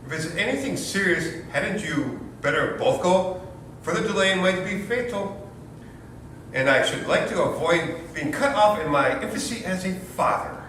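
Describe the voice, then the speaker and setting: Casting: male actor as self-pitying, pompous young father for stage farce, slightly high voice; very echoey recording. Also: animated, moderate pace, a bit bright. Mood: dry, anxious. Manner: pompous, theatrically florid. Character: anxious new father, indignant, melodramatic, self-pitying Englishman. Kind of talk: stage comedy readers theater. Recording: roomy, distant, noisy hall recording with rumble.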